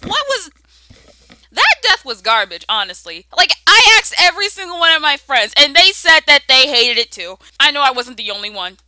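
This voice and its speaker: A woman's voice: fairly high pitch, bright, forceful tone, no room sound. A woman answering viewer questions in a casual, sarcastic, slangy way, often exclaiming. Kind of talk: casual sassy Q and A commentary.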